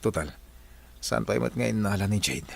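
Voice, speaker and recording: Low-voiced sly husband, played by a man. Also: expressive, moderate pace, gravelly, heavily acted. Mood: scheming. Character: sly, flirtatious married man, sweet-talking and scheming. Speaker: actor in a radio drama. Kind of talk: Filipino comedy-drama radio play acting.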